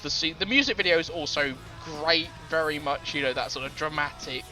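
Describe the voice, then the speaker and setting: A man's voice: fairly high pitch, brisk, bright, forceful tone. A man co-hosting a casual music podcast, rambling with many fillers and restarts and laughing easily. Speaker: podcast co-host. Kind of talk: casual chat about music.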